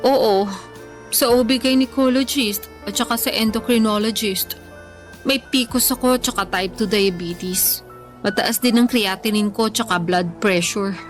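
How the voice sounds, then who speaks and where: A woman's voice: medium pitch, measured pace, soft background music, lightly acted. An actress with a Filipino accent playing an anxious, self-pitying young woman in an emotive Tagalog radio drama. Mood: ashamed, worried. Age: young adult.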